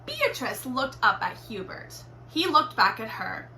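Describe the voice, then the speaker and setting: A woman reading a children's storybook aloud in an expressive, deliberate teacherly way. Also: fairly high pitch. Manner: animated, deliberate storytelling with acted exclamations. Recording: roomy echo, faint background noise.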